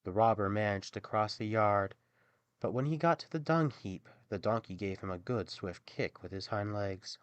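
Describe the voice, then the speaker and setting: A man narrating a scripted fairy tale as an expressive, theatrical bedtime storyteller. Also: fairly low pitch, moderate pace, smooth, no room sound, lo-fi sound.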